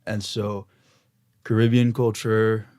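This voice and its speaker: A man's voice: lowish voice, flat delivery, a little mellow, clear, resonant tone. Hesitant young man hosting a podcast. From Belgium. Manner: hesitant, halting, full of restarts. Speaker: podcast host. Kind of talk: conversational interview. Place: radio studio.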